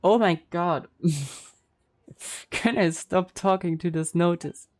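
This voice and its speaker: A woman's voice: fairly low pitch, slow pace, a little mellow. A woman streamer giving casual gameplay reactions, informal and joking as she thinks aloud. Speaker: game streamer.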